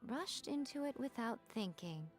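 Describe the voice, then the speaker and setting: A woman playing a sly, teasing fox shrine spirit in a video game, arch and condescending, with drawn-out phrasing. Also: a little high, expressive, close to an everyday voice. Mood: wry, knowing. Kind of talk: video game character voice acting. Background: game music.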